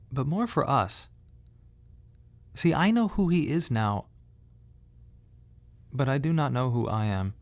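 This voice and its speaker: An actor playing a young husband and bereaved father in a radio drama monologue, speaking in a halting, emotionally raw way. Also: mid-range voice, darkish tone, natural voice.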